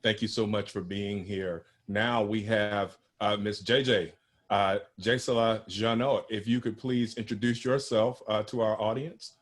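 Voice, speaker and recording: A man moderates a panel over a video call with a lowish voice. He is polite and welcoming, bringing each guest in by turn. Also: fairly even intonation, unhurried.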